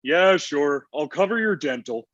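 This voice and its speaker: A man playing a laid-back, slangy, gruff Santa Claus in a comedy table read, heard over online call audio. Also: a little high, lively intonation, unhurried, a bit bright, muffled recording. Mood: friendly. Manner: casual slangy delivery.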